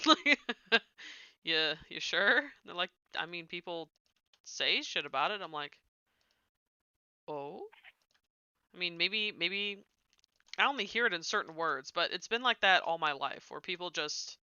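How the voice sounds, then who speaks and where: A woman's voice: lowish voice, animated, a little slow, pauses often, sharp tone, clean tone, no room sound, highs cut off. A woman streamer chatting casually while she builds in a game, rambling, trailing off and muttering to herself.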